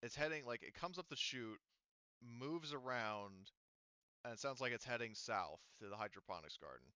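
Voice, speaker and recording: A man acting as game master, casually narrating and refereeing a tabletop game in a halting, think-aloud way. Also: medium pitch, animated, sharp tone, smooth, no echo, dull audio. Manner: halting, thinking aloud while working out details.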